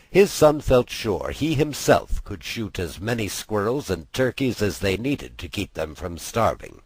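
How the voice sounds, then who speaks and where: Expressive deep-voiced man narrating a story. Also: husky, no room sound, poor audio. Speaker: audiobook narrator.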